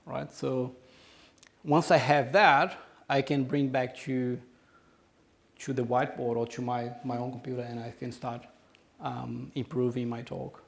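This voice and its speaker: A man with a Brazilian accent answers questions from the floor after giving a conference talk. His voice sits at a middle pitch, and he hesitates often, with ums and restarted phrases. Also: little pitch movement, measured pace, creaky. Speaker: conference speaker. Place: conference room.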